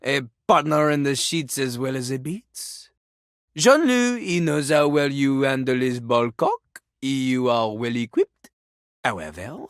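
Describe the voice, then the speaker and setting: A woman's voice: extremely low pitch, no room sound, clean studio sound, exaggerated voice. A woman voicing a vain, flirtatious male French rapper character in a video game, with a French accent and a grandiose, theatrical delivery. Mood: suave, flirtatious. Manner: grandiose, flirtatious, speaks of self in third person.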